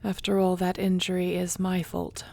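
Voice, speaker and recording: A woman's voice: fairly low pitch, monotone, moderate pace, gravelly, darkish tone. A woman playing a devoted dragon queen and wife, fierce yet tender, speaking formally and intimately in an audio roleplay. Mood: composed, caring. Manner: intimate, formal storybook speech.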